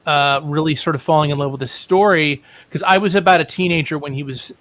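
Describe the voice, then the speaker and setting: Middle-aged male interview guest, bright and slightly high voice, knowledgeable rambling storyteller. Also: quick pace, no room sound. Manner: informed, discursive, self-correcting. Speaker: writer and playwright guest.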